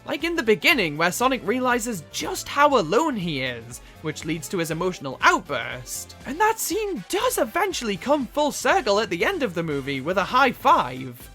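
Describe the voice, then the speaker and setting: A woman's voice: fairly high pitch, fairly bright tone. A woman delivering scripted movie review commentary as a video host, with theatrical, exasperated emphasis and some swearing.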